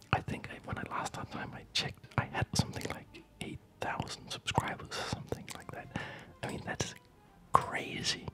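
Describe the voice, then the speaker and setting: A man whispering breathily in a gentle, unhurried, rambling way, as host of an ASMR gaming video, close to the microphone. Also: rough recording. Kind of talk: whispered ASMR chat over gameplay.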